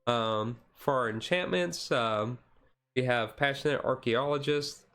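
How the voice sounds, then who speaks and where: A man streaming casual, chill card game commentary in a halting, rambling way with frequent asides. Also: mid-range voice, fairly bright tone, clean tone. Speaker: streamer host.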